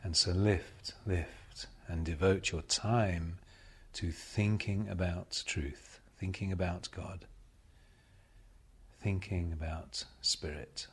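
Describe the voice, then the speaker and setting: A man leading a guided meditation as a spiritual teacher, speaking solemnly and deliberately, with measured pauses and repeated phrases. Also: low voice, steady tone, slightly rough.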